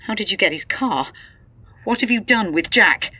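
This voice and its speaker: An actress playing a distressed, suspicious wife in a radio drama, agitated and accusing, often dropping to a hushed mutter. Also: female voice, medium pitch, animated, moderate pace, husky. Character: distressed, suspicious wife convinced her husband is an impostor.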